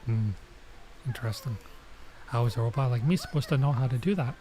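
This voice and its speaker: A man's voice: medium pitch, a little fast, mellow, natural voice. A man voicing a small, eager, boastful robot girl from a visual novel. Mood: curious.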